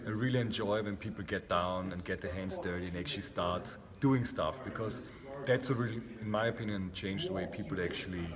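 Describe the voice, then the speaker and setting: A man's voice: sits low, brisk. A man from Austria, a guest in a casual interview, talking earnestly and reflectively with many fillers. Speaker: interview guest, meetup founder. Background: crowd chatter.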